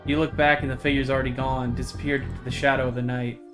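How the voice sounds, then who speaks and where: A man's voice: mid-range voice, flat delivery, fast. A man live streaming a visual novel, reading it aloud with his own commentary and blurted swearing. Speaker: game streamer. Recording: game music behind the voice.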